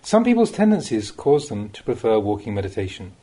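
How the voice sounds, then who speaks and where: A man reading a Buddhist teaching aloud as an audiobook, as a monk would, with measured and expressive phrasing. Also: on the low side, noisy background. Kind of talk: read-aloud Dhamma talk, audiobook narration.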